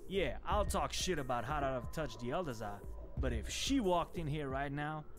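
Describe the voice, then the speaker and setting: Actor as a slangy vampire gangster, music behind. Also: male voice, fairly high pitch, expressive, moderate pace, close to an everyday voice. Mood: sardonic. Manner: slangy, blunt, sardonic. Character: cocky streetwise male vampire gangster, cynical foot soldier. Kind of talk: video game dialogue.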